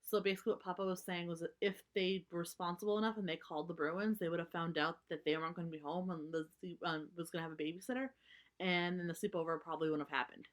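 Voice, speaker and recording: A woman reading a story aloud for children, narrating and pausing to explain things simply. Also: lowish voice, steady tone.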